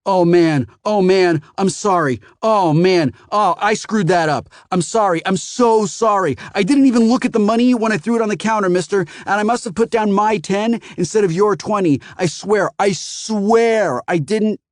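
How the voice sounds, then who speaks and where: A man's voice: high-pitched, normal speed, few pauses, no room sound, studio quality, pitched above the performer's own voice, natural voice. A man voicing a panicky, clumsy teenage boy who babbles frantic apologies. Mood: panicked.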